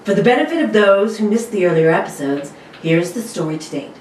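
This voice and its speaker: A woman's voice: mid-range voice, very animated, brisk, a little mellow. Playful woman announcer, roomy sound. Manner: playful, dramatic reading. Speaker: guest co-host and announcer. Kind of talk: casual chat and mock radio narration. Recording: roomy, distant mic, faint noise.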